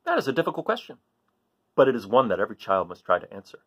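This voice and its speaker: Male storybook reader, very lively intonation, slightly husky, theatrical and emphatic for young listeners. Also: mid-range voice. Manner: theatrical, emphatic, drawn-out suspenseful phrasing. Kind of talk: dramatic read-aloud of a children's book.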